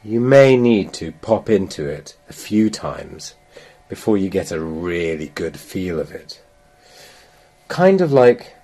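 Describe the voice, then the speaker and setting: A man with a very low, dark voice leads a guided meditation. He speaks very slowly and gently, leaving long pauses between measured phrases. The tone is mellow and a little breathy. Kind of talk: guided meditation, slow soothing instruction.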